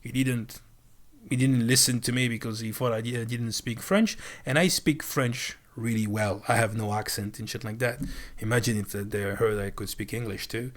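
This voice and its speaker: A man telling a story on a live stream, animated and swearing freely as he acts out a heated argument. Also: mid-range voice, normal speed.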